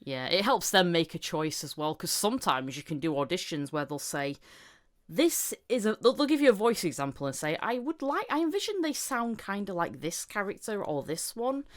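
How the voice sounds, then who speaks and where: Low voiced lady podcast host, chatty, just talking casually. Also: very animated, moderate pace. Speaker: podcast host interviewing a voice actor. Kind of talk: casual chat.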